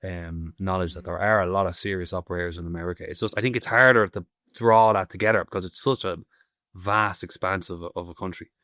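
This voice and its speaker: A man in his thirties with an Irish accent rattles along quickly, restarting and hunting for the right word. His voice is on the low side and the recording is dry and close. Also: fairly even intonation, sharp tone, dry recording. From Ireland. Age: 30s. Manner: rambling, searching for words, many restarts. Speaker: guest stone carver. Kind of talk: casual interview chat. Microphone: remote call.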